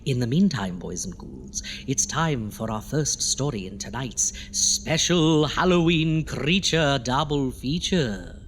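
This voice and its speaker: A man's voice: mid-range voice, animated, takes it slowly, hardly any pauses, slight background noise. Man playing a theatrical, swooping horror host. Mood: theatrical, sinister. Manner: pompous, dramatic, alliterative. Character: theatrical, exasperated male horror-show doctor host. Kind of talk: campy horror host audio comedy. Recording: music bed behind voice.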